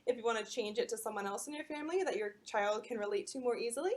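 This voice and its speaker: A woman librarian reading a picture book aloud at bedtime storytime and explaining it to children in an animated way. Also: a little high, fast.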